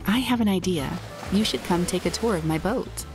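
A female actor voices a confident flirt in an audio drama. Her voice sits low and she teases and coaxes boldly. Music plays clearly underneath. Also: normal speed, close to an everyday voice. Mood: flirtatious, teasing. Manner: teasing, coaxing. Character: flirtatious, confident, bold yacht-crew woman. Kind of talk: naturalistic audio drama acting.

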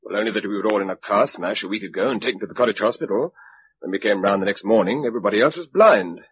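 An actor playing a civil, brisk stockbroker turned defender in a British radio drama, with a polite, chatty manner and a British accent. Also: male voice, on the low side, slightly rough. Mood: sociable. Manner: polite, chatty, upper-middle-class. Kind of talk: mid-century British radio drama acting. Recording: dull, noisy old radio recording.

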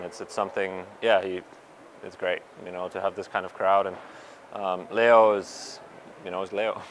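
A man's voice: deep voice, slight background noise, poor audio. A young man, a pro soccer player, answering reporters after a game, hesitant with many fillers and restarts. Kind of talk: postgame media scrum. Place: stadium media area in front of sponsor backdrop. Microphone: reporters' handheld mics and recorders held close.

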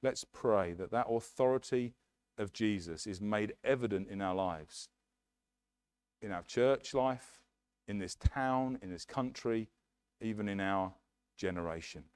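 A man's voice: fairly low pitch, a little slow, darkish tone, clear, resonant tone. A middle-aged man with an English accent preaching a sermon earnestly at a lectern in a church hall, on a headset mic. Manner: earnest, emphatic preaching with hand gestures at a lectern. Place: church hall, medium size, indoors.

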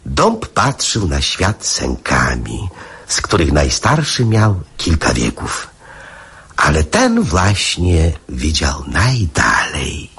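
A man playing a whimsical fable storyteller in a radio play, with deliberate, suspenseful delivery. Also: rumbling low, huge pitch swings, a bit bright, breathy edge, exaggerated voice. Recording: music behind the voice.

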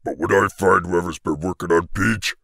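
A man's voice: deep voice, steady tone, normal speed, vocal fry, fairly bright tone, no room sound, studio quality, full character voice. A man voicing a huge grumpy turtle monster king in a fan cartoon, blustering, threatening and insulting. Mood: grumpy, menacing. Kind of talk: fan cartoon comedy voice acting.

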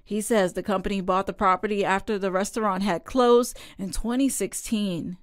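A young woman working as a TV news reporter, narrating a local news story in a clear, measured newsreader delivery. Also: mid-range voice, steady tone, no echo, studio quality.

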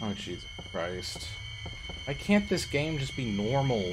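A young man live-streaming a horror game on a headset mic, joking and cursing, with loud excited reactions. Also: mid-range voice, measured pace, noisy background. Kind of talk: casual live-stream commentary.

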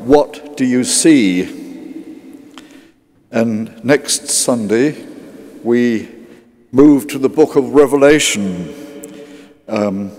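A male preacher delivers a sermon at a slow, measured pace. His mid-pitched voice comes in short phrases separated by deliberate pauses. It carries through a cavernous cathedral with a long reverberant tail. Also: a little mellow. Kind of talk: sermon in a church service. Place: large cathedral interior.

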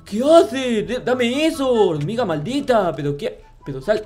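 Naive little game character played by a man: high voice, childlike, stammering, repetitive and pleading, light music behind. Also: lively intonation, unhurried, a little mellow. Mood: panicky pleading. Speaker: gameplay roleplay YouTuber. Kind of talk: improvised comic roleplay over video game play.

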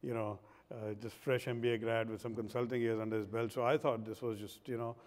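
A man's voice: mid-range voice, little pitch movement, brisk, darkish tone. A man in his forties from India, speaking earnestly on a conference panel, with many fillers. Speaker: pharma executive panelist. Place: large conference stage. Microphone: lapel mic. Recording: long hall reverb.

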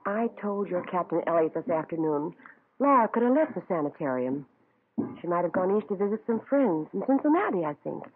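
A woman's voice: fairly low pitch, very animated, a little fast, a little hiss or hum. An actress playing a haughty, fretful American woman in her thirties, defensive under questioning, in an old-time radio drama. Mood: wary, uneasy. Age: 30s. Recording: muffled tinny vintage radio, faint music.

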